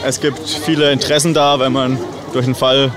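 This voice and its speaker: A man's voice: mid-range voice, little pitch movement, moderate pace. Young man, crowd noise behind. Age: 20s. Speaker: football fan, initiative founder. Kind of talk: interview answers. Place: outdoors at a crowded stadium fest.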